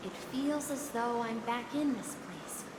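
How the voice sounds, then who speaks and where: A woman's voice: a little high, expressive, measured pace, very noisy. A woman voicing an earnest young boy who dreams of adventure at sea, with a wistful storytelling manner, in a video game. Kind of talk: scripted video game dialogue. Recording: loud game music behind.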